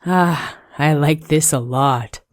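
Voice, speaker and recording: A woman's voice: sits low, slow, no room sound, studio quality. A woman voicing a lovable, cheerful, dramatic snowman who is forever cold, in a children's storybook read-aloud. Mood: pleased.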